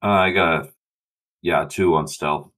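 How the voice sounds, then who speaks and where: A man's voice: low voice, steady tone, unhurried, fairly bright tone. A man playing a tabletop game, bantering casually with the other players. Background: background music.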